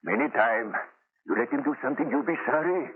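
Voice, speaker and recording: An actor playing a protective, simple-spoken foreign sailor in broken English, in urgent 1930s radio style. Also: male voice, medium pitch, expressive, brisk, a little hiss or hum, lightly acted. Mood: wary warning. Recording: tinny old radio, music behind.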